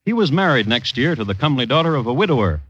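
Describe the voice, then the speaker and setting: Old-radio crime chronicler: dry, precise, very varied intonation, slightly muffled lo-fi sound. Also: male voice, medium pitch, big pitch swings, a bit bright, slightly rough, no echo. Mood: dry, wry. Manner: measured, wry, formal storytelling. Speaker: host narrator of radio crime series. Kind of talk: 1950s radio scripted narration. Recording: dull old radio recording.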